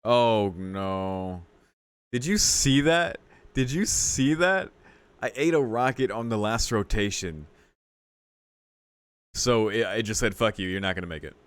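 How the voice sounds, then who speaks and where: A man's voice: lowish voice, animated, a little slow, long pauses, clean tone, dry recording. A man doing casual gameplay commentary as a video host, reacting with exclamations and mock exasperation.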